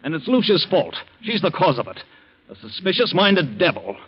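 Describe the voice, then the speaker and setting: An actor playing an earnest, aggrieved husband in a theatrical 1940s radio drama. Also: male voice, on the high side, very animated, moderate pace, husky. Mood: indignant, scornful. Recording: muffled 1940s radio recording.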